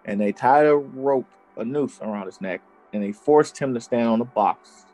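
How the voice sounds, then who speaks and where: A man's voice: mid-range voice, moderate pace, darkish tone, soft background music, poor audio. A man co-hosting a podcast, telling a true crime story in casual chat and laughing often. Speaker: podcast co-host.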